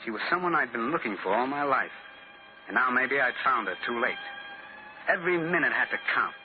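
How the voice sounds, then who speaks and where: A man's voice: mid-range voice, expressive, brisk, close to an everyday voice. An actor playing a wry, hard-boiled American man on the run in an old-time radio thriller, telling his story in clipped phrases. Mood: brooding, matter-of-fact. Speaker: actor playing narrator and lead. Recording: dull old radio recording with music.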